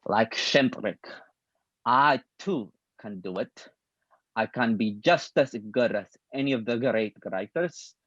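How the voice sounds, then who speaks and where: A man reading a calm probing mentor, dry dull sound. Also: medium pitch, dry recording. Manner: measured, deliberate, lecturing. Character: calm older male acting teacher, probing mentor. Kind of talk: play table read.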